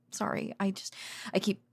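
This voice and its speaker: A woman playing a tired, teasing, affectionate researcher girlfriend in an intimate bedtime audio roleplay, rambling hesitantly with wry sarcasm. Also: lightly acted. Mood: weary, wry complaining. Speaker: voice actor.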